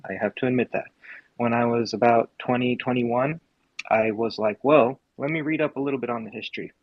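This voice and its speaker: A man's voice: mid-range voice, fairly even intonation, brisk. A young man from Indiana speaking in a live audio space, giving earnest, emphatic political commentary with many filler ums. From Indiana, USA. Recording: dull lo-fi mic, dry.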